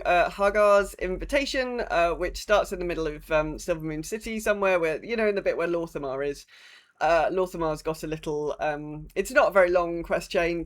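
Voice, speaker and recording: A woman co-hosting a gaming podcast in casual chat, talking in an informal, rambling way with some mild swearing. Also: on the low side. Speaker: podcast co-host.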